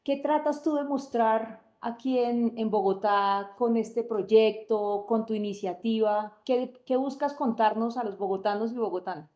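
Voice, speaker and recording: Bright-pitched woman interviewer, echoey room. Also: measured pace, hardly any pauses, dull audio. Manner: curious, brief prompting questions and backchannels. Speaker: interviewer host. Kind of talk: interview questions.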